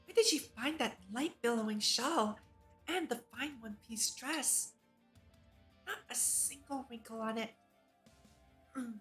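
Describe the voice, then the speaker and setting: A woman streamer reading a visual novel aloud and commenting casually as an enthusiastic fan. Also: fairly high pitch. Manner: enthusiastic, giggly fan reactions between read passages. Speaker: VTuber streamer.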